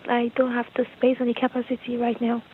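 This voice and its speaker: A woman's voice: on the high side, completely flat delivery, fairly quick. Guarded woman on a noisy phone line. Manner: guarded, hesitant, noncommittal. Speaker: church staff member. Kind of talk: phone conversation.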